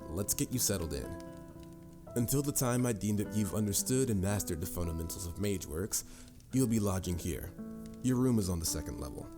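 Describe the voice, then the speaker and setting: A man's voice: fairly low pitch, expressive, brisk, natural voice. A man playing a confident, patient mage mentor in a fantasy audio roleplay, instructive and slightly formal in a theatrical way. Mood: storytelling, confident. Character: confident adult male mage mentor, patient, boastful, encouraging. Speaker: voice actor. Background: music.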